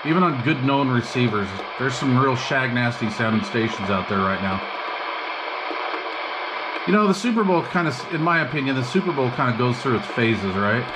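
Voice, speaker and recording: Male hobbyist host, medium pitch, unscripted and slangy, thinking out loud, clearly noisy recording with radio hiss behind. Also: lively intonation, normal speed. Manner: rambling, thinking aloud, slangy. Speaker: hobbyist host demonstrating and testing an old CB radio. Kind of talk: casual unscripted bench-test chat. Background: CB radio receiver hiss and chatter.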